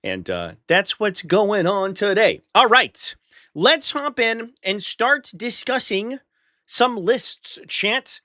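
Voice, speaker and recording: A man's voice: sits high, very animated, slow pace, pushed and bright, no echo. A man with a stuffy nose from a flu, hosting a live stream in casual chat, talking straight to his viewers with dry sarcastic asides.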